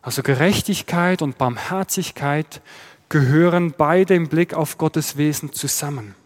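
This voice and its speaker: A male pastor with a Swiss German accent gives a sermon in a steady, explaining manner, asking his listeners rhetorical questions. His voice sits a little higher than most men's. The sound carries a long reverb, as in a church hall. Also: measured pace. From Switzerland.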